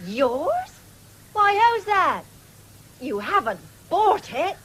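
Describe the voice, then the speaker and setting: An actress playing a stubborn, hard-working country woman, sharp-tongued, in a radio drama. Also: extremely high pitch, big pitch swings, drawn-out words, exaggerated voice. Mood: scolding. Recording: hissy 1970s radio drama recording.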